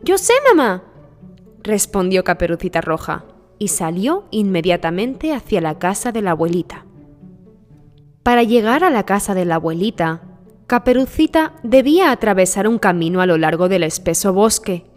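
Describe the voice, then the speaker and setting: A woman reads a children's story as its narrator, at a middle pitch. Her voice sits in long reverb, with music playing behind the scripted narration. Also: animated, normal speed, a little mellow.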